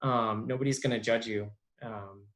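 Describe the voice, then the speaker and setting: A young adult man as an interview guest, talking casually with many pauses and restarts. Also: mid-range voice, fairly even intonation, fairly bright tone. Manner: halting, lots of ums and restarts. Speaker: interview guest, bilingual speech therapist.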